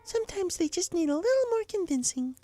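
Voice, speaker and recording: A man voicing a timid, gentle young female pegasus pony in a soft falsetto, polite and hesitant, in a story reading. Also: very animated, brisk, faint music behind. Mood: polite, shy.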